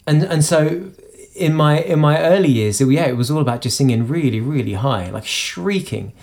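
British man, high-pitched and halting, restarts a lot, interview guest. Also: a little mellow. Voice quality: self-described high voice. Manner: halting, many restarts and repeated words. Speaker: singer-songwriter guest. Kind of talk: conversational interview.

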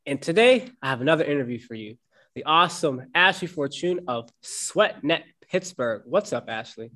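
A man's voice: on the high side, expressive, normal speed, sharp tone. A man hosting a fitness podcast interview, recovering from a cold and talking in an upbeat, chatty way with easy laughter. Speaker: podcast host.

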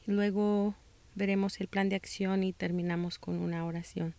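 A Mexican-American woman from Texas hosts a faith-based parenting podcast. She reads from a script in a calm, measured voice and slows down for scripture. Her voice sits in a mid range, recorded close and dry with no room sound. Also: mid-range voice, vocal fry, dry recording. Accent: Mexican-American Spanish, northern border and South Texas. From United States (Texas). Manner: calm, measured reading with slow scripture passages. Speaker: podcast host.

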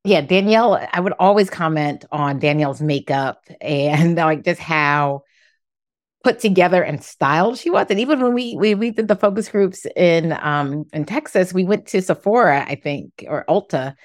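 A woman's voice: lowish voice, moderate pace, no echo, clean studio sound. An American woman speaking as a guest professor in a conversational, anecdotal way, with an emphatic manner. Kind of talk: conversational, anecdotal panel talk.

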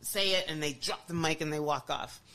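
A woman's voice: deep voice, brisk, sharp tone. A woman hosting a podcast, talking enthusiastically in casual chat with many restarts and "you know"s. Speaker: podcast host.

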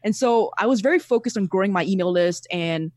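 A man in his thirties from Singapore with a Singaporean accent, chatting casually as a podcast guest over a video call, animated and quick to laugh. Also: high-pitched, dry recording, studio quality. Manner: animated, laughs often, repeats words. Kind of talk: casual interview chat.

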